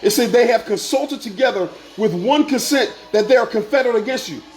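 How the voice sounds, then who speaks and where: A man's voice: very high voice, brisk. Fired-up man preaching to viewers. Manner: preachy, repetitive, rising to shouts. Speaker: online commentator. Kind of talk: impassioned monologue to viewers.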